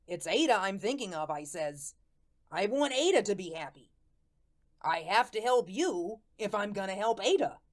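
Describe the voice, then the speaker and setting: A woman's voice: medium pitch, natural voice. A woman playing a wry, good-natured ghost who narrates a radio play in slangy, streetwise, colloquial style. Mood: earnest, persuasive. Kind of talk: first-person radio play storytelling.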